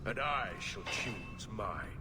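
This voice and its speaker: An actor voicing a haughty, scornful enemy fighter in a video game. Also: male voice, on the low side, animated, takes it slowly, pushed and bright, reverberant, exaggerated voice.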